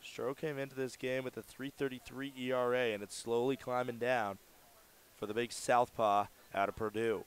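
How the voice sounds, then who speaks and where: Male baseball radio announcer, mid-pitched, smooth and crisp, live play-by-play. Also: level tone, normal speed. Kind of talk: live sports commentary.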